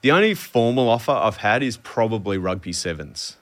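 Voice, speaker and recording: Low-pitched Australian man; halting, thinking-aloud guest. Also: lively intonation. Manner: halting, many restarts and ums. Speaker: guest, former sprinter. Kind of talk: casual podcast chat.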